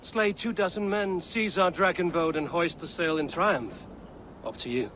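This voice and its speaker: A man's voice: a little high, unhurried, fairly bright tone, slight background noise. A man playing a bold, defiant Viking raider leader in a video game cutscene, speaking in terse commands. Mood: confident, resolute. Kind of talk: cinematic video game acting.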